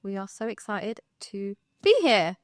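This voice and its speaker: A woman's voice: medium pitch, sing-song, unhurried. A bubbly young woman hosts the podcast and keeps her guest talking in easy, friendly chat. Her voice is dry and close, with no room echo around it. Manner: bubbly, chatty interviewer. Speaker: podcast host.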